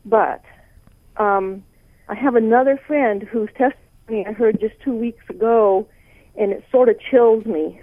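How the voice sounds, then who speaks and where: A woman's voice: a little high, unhurried, breathy edge, no room sound. Northern US woman on a tinny phone line. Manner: hesitant, many fillers. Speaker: caller. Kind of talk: call-in radio caller.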